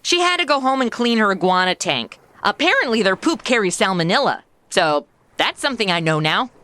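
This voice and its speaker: A woman's voice: medium pitch, sing-song, a little fast, bright, forceful tone, dry recording. A woman playing a flippant, sarcastic young American tourist with slangy modern delivery in a comedy audio drama. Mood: exasperated, flippant.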